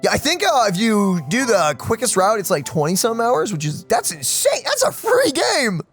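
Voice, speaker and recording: Excitable young man with animated pitch. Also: normal speed, soft background music. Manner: excitable, emphatic, slangy. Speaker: let's play co-host. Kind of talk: casual gaming chat.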